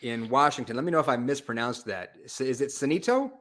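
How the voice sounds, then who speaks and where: A man's voice: mid-range voice, lively intonation, fast, fairly bright tone. A man hosting a call-in show, chatting and telling stories casually with emphatic, slangy, informal phrasing. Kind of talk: casual chat, storytelling.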